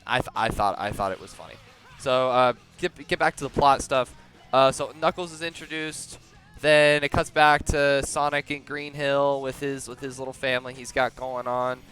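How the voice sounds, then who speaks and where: A young man giving a casual movie review close to the microphone, halting and often repeating words as he starts over. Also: mid-range voice, fairly even intonation, fairly bright tone, clean tone, faint music behind. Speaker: video reviewer. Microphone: very close to the mouth.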